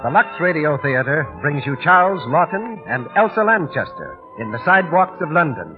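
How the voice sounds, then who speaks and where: A man's voice: on the high side, very animated, moderate pace. A man announcing a star-studded radio program in a grand, emphatic old-time style. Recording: muffled old broadcast under loud music.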